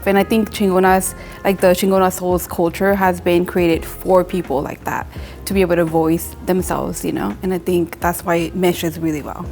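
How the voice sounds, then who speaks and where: A young adult woman from Mexico, a tattoo artist, talking casually in a relaxed, rambling way with lots of filler words. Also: lowish voice, normal speed, faint music behind. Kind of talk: casual interview monologue.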